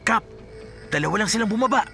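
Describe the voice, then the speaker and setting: A man playing an eager, excitable police sergeant and sidekick in an action drama. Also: high voice, fast, creaky, faint music behind, heavily acted. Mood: tense. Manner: keen, excitable.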